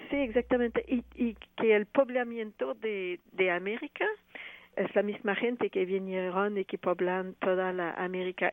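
Halting woman on a tinny phone line. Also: medium pitch, no room sound. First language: not Spanish. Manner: halting, searching for words, many restarts. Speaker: playwright and actress, guest. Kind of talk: radio interview answers.

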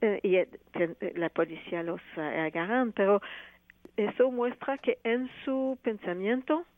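A woman, a playwright and actress speaking Spanish as a second language, answering interview questions haltingly with many restarts. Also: mid-range voice, dry recording. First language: not Spanish. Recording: tinny phone line.

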